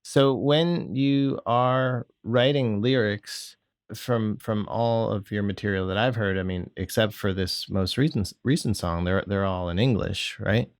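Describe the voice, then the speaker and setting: A man with a fairly low voice interviewing a guest in an easygoing way. He asks his questions loosely and searches for his words as he goes. The sound is close and dry. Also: a little slow, dry recording.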